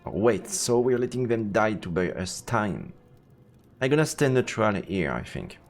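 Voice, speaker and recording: A man's voice: lowish voice, big pitch swings, measured pace, reverberant, long reverb tail, faint music behind. A man streaming a game, switching between let's play commentary and reading the story text aloud.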